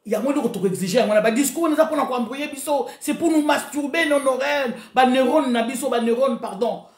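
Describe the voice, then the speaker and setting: A woman's voice: sits high, fast talker, echoey room. A Congolese-accented woman delivering an impassioned political rant to her online followers, exhorting them and repeating phrases emphatically as she switches between Lingala and French. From Congo (DRC). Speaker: online political commentator, video host.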